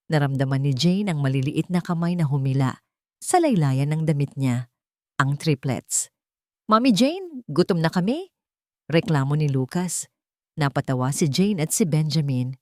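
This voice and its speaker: A woman narrating a scripted romantic drama story in a steady, even reading manner. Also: lowish voice, no echo, clean studio sound.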